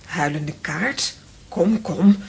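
An actress playing a composed young lady of a castle in a children's audio drama, soothing and husky. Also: lowish voice, extremely slow, a little mellow, exaggerated voice. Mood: composed, placating.

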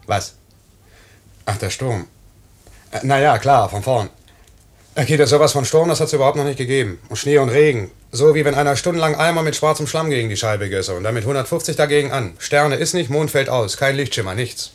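A man's voice: medium pitch, fairly quick, close to an everyday voice. A man playing a cheerful, teasing pilot in a 1950s German radio play, speaking in a breezy, colloquial way. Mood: boisterous. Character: cheerful, teasing night-mail pilot, tender with his young wife.

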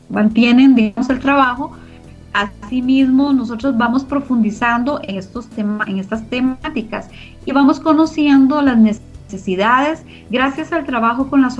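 A woman speaks as an interview guest, explaining things conversationally. Her voice sits on the high side. The audio is somewhat dull, with faint music behind her. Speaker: interview guest from a community media organization.

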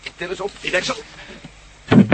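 A man's voice: normal speed, natural voice. A man acting a wary, wry adventurer in a Dutch radio play, with a Dutch accent. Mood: curious, tense, then hushed awe. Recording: noisy old radio drama with outdoor ambience.

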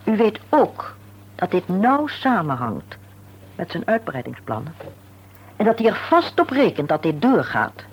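An actress playing a composed, tactful middle-aged wife in a radio play, speaking formally and deliberately with polite insistence. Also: female voice, mid-range voice, wildly swooping pitch. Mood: firm, confiding. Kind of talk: Dutch radio play acting. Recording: dull, hissy old radio recording with hum.

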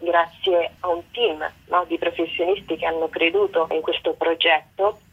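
A woman's voice: on the low side, steady tone, moderate pace, breathy edge. A woman, interviewed over the telephone as a stage author and actress promoting her own show. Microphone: phone call. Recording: muffled, narrow telephone line.

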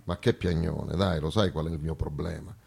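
A man's voice: very deep voice, fairly even intonation, moderate pace, vocal fry, a little mellow, slightly rough, full character voice. A man playing the South personified as a weary, plaintive one-legged underdog, plodding and stubborn in a comic stage dialogue. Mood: weary, hesitant. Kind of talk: theatrical comic monologue dialogue.

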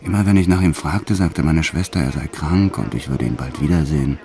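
Very deep, brooding male criminal. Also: brisk, a little mellow. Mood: grim reminiscence. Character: notorious male French criminal recounting his wartime childhood. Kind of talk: dramatized first-person audio drama narration.

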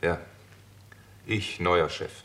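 A sober doctor telling his own detective story in sixties radio drama style. The voice is deep and measured, with a dry, matter-of-fact wryness. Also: steady tone, pushed and bright, full character voice. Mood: calm, guarded. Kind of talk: 1960s German radio drama acting and first-person narration.

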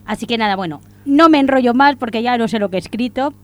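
A woman's voice: on the high side, quick pace. A woman with an accent from Spain presenting a music radio show in a chatty, informal, self-deprecating way. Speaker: radio host.

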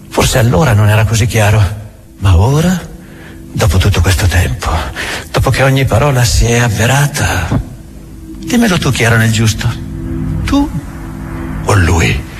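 An actor playing a cynical, accusing inquisitor in a theatrical monologue, halting and full of rhetorical questions, weary and menacing. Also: male voice, fairly low pitch. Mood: insistent. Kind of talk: theatrical monologue, radio drama. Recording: music under the voice. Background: music.

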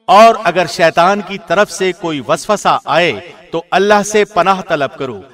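A man reading a scripture translation from a script in a formal, solemn radio presenter's style with deliberate enunciation. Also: high-pitched, fairly bright tone, soft background music. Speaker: translation reader, radio host.

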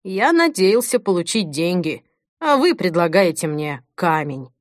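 A woman's voice: fairly low pitch, big pitch swings, unhurried, no room sound, clean studio sound. A woman reading the lines of an impatient young man who exclaims and questions. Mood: vexed.

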